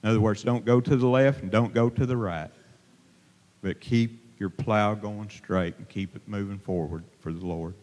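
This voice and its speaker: A middle-aged man preaching an informal sermon from a pulpit, plain-spoken and halting, with many fillers and restarts. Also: on the low side, fairly even intonation, a little mellow. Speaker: lay preacher. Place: church sanctuary, at a pulpit. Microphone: handheld microphone held to mouth. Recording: long reverb of a church hall.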